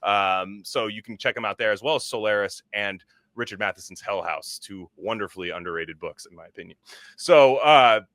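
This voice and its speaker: A man hosts a casual podcast chat in a bright, forward voice, sitting close to a dry, dead-sounding mic. He rambles loosely, circles back on himself and drops the odd swear. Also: on the low side, moderate pace, no echo. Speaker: podcast host.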